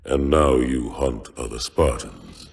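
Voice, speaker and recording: An actor playing a proud, solemn alien warrior commander in a game, speaking formally and gravely with measured diction. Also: male voice, a bass voice, soft background music, exaggerated voice. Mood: grave, reflective.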